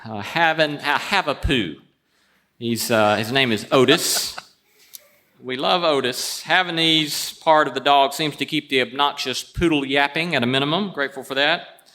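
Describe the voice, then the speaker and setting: A man hosting a show, telling prepared stories and introductions in a wry, deliberate way. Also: medium pitch, pushed and bright. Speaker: show host introducing a guest. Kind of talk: prepared storytelling introduction before an audience.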